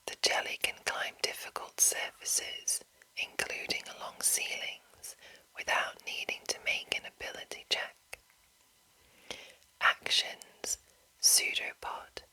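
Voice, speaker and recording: A woman whispering breathily in an ASMR style, reading aloud in deliberate short phrases with soft commentary. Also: rough recording. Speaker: ASMR host reading a monster manual.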